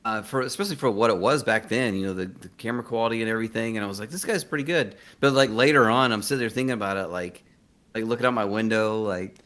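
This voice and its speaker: A man chatting casually, rambling and halting as he hunts for his words. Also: on the low side, fairly bright tone. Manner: halting, rambling, filler-heavy. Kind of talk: casual chat.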